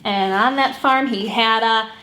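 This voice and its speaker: Lady doing a kids singalong story time, high voice, really animated, echoey room. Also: a little slow. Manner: animated, acts out animal sounds and song refrains. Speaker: children's story time host at a library. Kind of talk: sung picture-book read-along for children.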